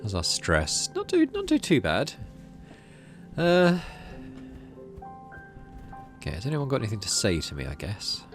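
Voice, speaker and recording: A man's voice: mid-range voice, wildly swooping pitch, unhurried, pauses often, faint music behind. A man giving casual gameplay commentary, often muttering to himself as he plays. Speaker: gaming host. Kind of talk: casual gameplay commentary mixed with reading game text aloud.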